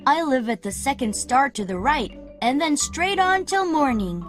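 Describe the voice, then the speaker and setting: A woman voicing a cocky, excitable young boy who never grows up in an animated children's story, boastful and blunt. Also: high voice, moderate pace, faint music behind. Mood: matter-of-fact, upset.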